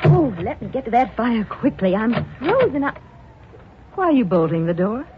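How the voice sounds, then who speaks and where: A chatty married woman in a 1940s radio drama. She is airy and theatrical, with very animated intonation. The recording is muffled and clearly noisy. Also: a little high, moderate pace. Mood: bright, curious. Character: chatty, blithe married woman posing for a sculptor.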